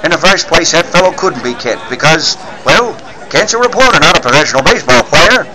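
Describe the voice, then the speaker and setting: A man playing a gruff, skeptical newspaper editor, scolding and authoritative, in 1940s radio style. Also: fairly high pitch, animated, a little fast, pushed and bright, low-quality recording. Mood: stern, exasperated. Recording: clear stadium crowd noise behind.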